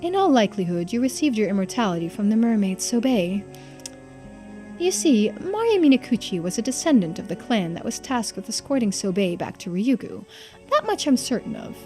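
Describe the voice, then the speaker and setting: A woman voicing a stern, all-knowing authority on immortality in a visual novel game, with formal, deliberate pronouncements. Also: on the high side, big pitch swings, a little mellow, faint music behind. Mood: firm.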